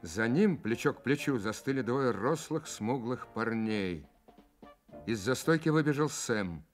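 A man's voice: medium pitch, animated, unhurried. A man giving scripted dramatic narration for a radio play. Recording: music behind the narration.

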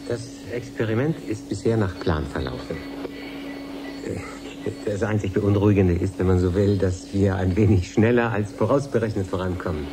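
An actor playing a matter-of-fact professor in a radio drama, explaining precisely and with self-assurance. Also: male voice, lowish voice, close to an everyday voice. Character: matter-of-fact male professor running an experiment. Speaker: scientist. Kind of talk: 1980s German radio drama.

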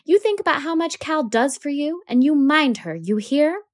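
A woman's voice: high-pitched, expressive, normal speed, dry recording, studio quality, voice pushed up. Father role, woman reader: flinty, firm, lecturing. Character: dry firm father, lawyer.

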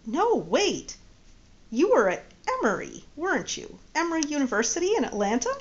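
A woman's voice: high-pitched, sing-song, moderate pace, a little hiss or hum, voice pushed up. A woman voicing an earnest middle-aged man in a read-aloud story, on dull lo-fi audio. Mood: eager.

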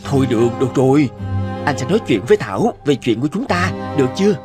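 A man playing a hesitant, remorseful husband and tender father in an animated drama. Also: medium pitch, fast, lightly acted. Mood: hesitant, evasive. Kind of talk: animated cartoon drama acting. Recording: loud music behind the voice. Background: music.

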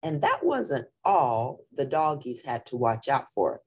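A woman reading a children's picture book aloud in a theatrical, deliberate way with playful emphasis. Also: extremely low pitch, sing-song, darkish tone, slight background noise. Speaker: storybook reader.

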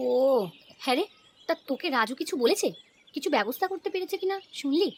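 Woman acting an animated, very high-pitched worried mother. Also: fast, slight background noise. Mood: motherly. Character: anxious, kindly middle-class mother-in-law of grown son. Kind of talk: cartoon voice acting, domestic moral story.

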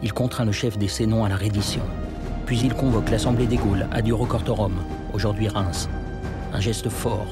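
A man narrating a scripted history documentary in a measured, serious way. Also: on the low side, fairly even intonation, loud music behind the voice.

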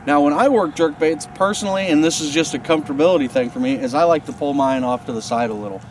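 A man's voice: fairly high pitch, quick pace. A man in his thirties giving a casual, practical fishing lesson outdoors, explaining while he handles his gear. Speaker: fishing instructor. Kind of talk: casual outdoor instructional demo. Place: outdoors on a boat and a snowy riverbank. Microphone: camera mic from a few feet away. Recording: distant camera mic, faint outdoor noise.

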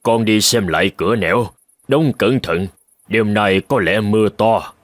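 A man playing a calm, forgiving elderly temple master in a cartoon, measured and gently instructive. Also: lowish voice, no room sound, studio quality, lightly acted. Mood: calm, caring. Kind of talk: cartoon voice acting.

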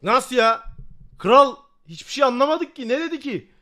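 A man's voice: very high-pitched, lively intonation, moderate pace, pushed and bright. A man with a Turkish accent giving casual, blunt reaction commentary full of slang, incredulous and exasperated. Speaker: reaction streamer.

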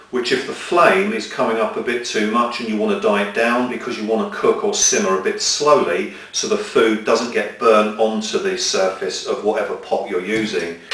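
A man's voice: fairly low pitch, fairly bright tone, far from the mic. Man demonstrating gear in echoey room. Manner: methodical, explains step by step while handling gear. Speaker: outdoor gear presenter. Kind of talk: hands-on equipment demonstration. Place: small indoor room with table of gear. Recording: echoey room, mic set back.

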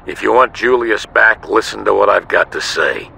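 An actor playing a corrupt middle-aged police chief in a video game, gravelly, measured and menacing as he bargains and threatens. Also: lowish voice, pushed and bright, a little hiss or hum, heavily acted, poor audio. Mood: cold menace. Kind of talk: scripted video game acting.

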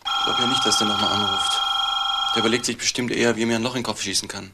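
A man playing an edgy, restless barfly in a crime drama, irritable and grumbling, with a gravelly voice. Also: fairly low pitch, fairly even intonation, racing, close to an everyday voice. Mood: sullen. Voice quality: creaky, gravelly. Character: edgy, restless man haunting pubs, hunted witness. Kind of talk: naturalistic crime film acting. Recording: noisy room.

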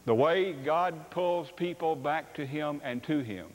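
An older man preaching a sermon on a church stage, animated and acting out dialogue. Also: on the high side, unhurried. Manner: animated, acts out dialogue with sweeping gestures. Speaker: pastor. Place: large church sanctuary stage. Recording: long reverb of a large hall.

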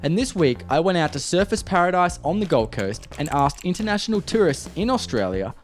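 A man's voice: sits high, sing-song, fairly quick. A man introducing his street interview video in a scripted, upbeat read. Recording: music bed under voice.

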